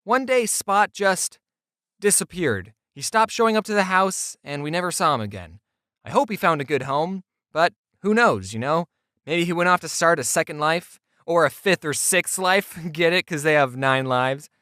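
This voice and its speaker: Comedic storyteller for scripted narration. Expressive, wide-ranging intonation, playful with deadpan asides, very dry studio-like sound. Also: mid-range voice, normal speed, no room sound. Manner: playful, self-deprecating, with deadpan asides and acted quotes.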